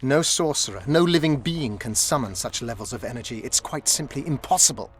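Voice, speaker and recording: An actor playing a condescending, scholarly court sorcerer in a video game, pompous, silky and mock-courteous. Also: male voice, mid-range voice, expressive, fairly quick, a little hiss or hum, close to an everyday voice. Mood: lecturing. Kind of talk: video game dialogue acting.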